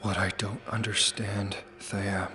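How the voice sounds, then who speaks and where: A man playing a brooding human rebel in a sci-fi audio drama, talking low and hushed. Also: little pitch movement, slow, music in the background. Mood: urgent, questioning. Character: tense young man, human rebel guiding fugitive androids.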